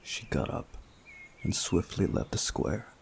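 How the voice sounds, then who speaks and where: A man reading a visual novel aloud as a playthrough host, in a measured, deliberate way with pauses. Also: deep voice, animated, vocal fry, a little hiss or hum. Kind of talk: scripted narration of a visual novel with commentary.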